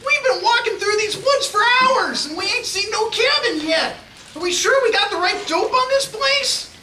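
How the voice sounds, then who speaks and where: Woman doing a squeaky, grumbling tough-guy sidekick. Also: lively intonation, moderate pace, sharp tone, full character voice. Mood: exasperated. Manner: slangy, ungrammatical, complaining. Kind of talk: live radio play acting. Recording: echoey live stage, distant mic, faint noise.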